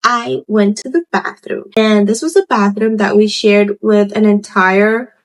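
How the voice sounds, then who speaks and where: A woman, telling stories casually to camera in an animated, conversational way full of asides. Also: mid-range voice, unhurried. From Puerto Rico. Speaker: host of a storytime video channel. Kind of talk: casual chatty storytelling to camera.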